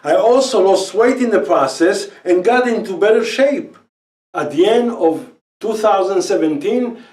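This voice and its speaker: A man's voice: high-pitched, a little mellow. A middle-aged man with an Israeli accent, a native Hebrew speaker, telling his own story of quitting smoking in earnest, slightly non-native English. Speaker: speaker pitching a quit-smoking program. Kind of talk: personal testimonial talk. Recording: roomy, from some distance.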